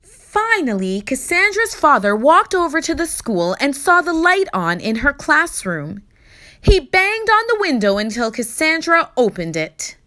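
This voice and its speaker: A woman reading a children's story aloud in expressive narration, with deliberate, theatrical emphasis. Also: high-pitched.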